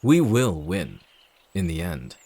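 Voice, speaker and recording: An actor playing a cold, calculating villain in an audio drama, speaking in a measured, smug, menacing way. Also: male voice, sits low, sing-song, mellow, breathy edge, dry recording, full character voice. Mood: smug, zealous. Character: cold, calculating male villain leading an anti-shifter operation. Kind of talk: dramatic audio roleplay acting.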